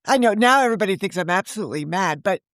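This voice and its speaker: Woman in her sixties, earnest, rambling, restarts a lot, mid-pitched voice, dry close sound. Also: animated, very fast, a bit bright, no room sound. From United States. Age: 60s. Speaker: guest, novelist. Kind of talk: casual interview conversation.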